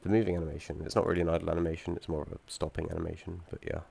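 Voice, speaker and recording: A young man with a croaky voice from feeling unwell, explaining his game project and code with frequent ums and restarts and the odd dry aside. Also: low-pitched, little pitch movement, rapid-fire, a little mellow. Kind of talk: screen-recorded code walkthrough.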